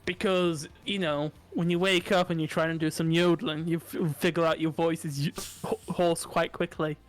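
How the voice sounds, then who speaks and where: High-voiced young man, laughing banter. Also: level tone, normal speed, soft background music. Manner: laughing, crude and playful. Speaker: podcast co-host. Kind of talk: casual banter. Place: home desk.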